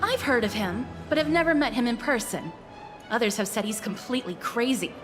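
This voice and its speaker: A woman's voice: fairly high pitch, expressive, a little fast, music in the background, close to an everyday voice. A woman playing a prim, disapproving citizen in a video game, earnest, formal and admonishing. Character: prim, disapproving, sensible public-minded citizen.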